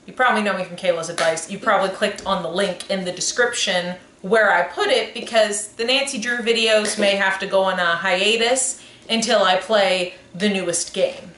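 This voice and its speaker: A woman's voice: mid-range voice, normal speed, a bit bright. A woman in her twenties co-hosting a podcast, chatting casually and rambling as she corrects herself. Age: 20s. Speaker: podcast co-host. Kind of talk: casual chat. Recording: echoey room, faint noise.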